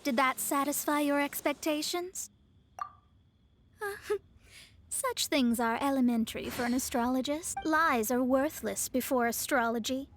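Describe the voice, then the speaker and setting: A woman's voice: high-pitched, unhurried, a little hiss or hum. A woman playing a proud, self-assured young astrologer with pompous, formal speech in an anime-style video game. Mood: smug. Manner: pompous, formal, elaborate wording. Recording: background music behind the voice.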